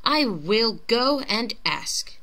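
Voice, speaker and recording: A woman's voice: a little high, sing-song, very slow, pushed and bright, full character voice. A woman voicing an earnest, compassionate young village girl in a children's storybook, with a questioning manner. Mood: hopeful. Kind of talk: children's storybook character reading.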